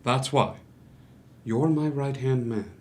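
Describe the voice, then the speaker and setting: An actor playing a smooth-talking, scheming doctor and businessman in a stage drama read, with formal, measured, manipulative delivery. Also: mid-range voice, a little mellow, natural voice. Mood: calm, controlling. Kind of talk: musical table read, dramatic stage acting.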